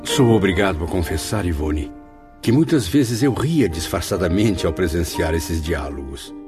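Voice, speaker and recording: A man's voice: low-pitched, lively intonation, moderate pace, over music. An actor in a radio drama playing the spirit of a proud Portuguese male novelist, florid, formal and theatrical. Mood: haughty, confiding.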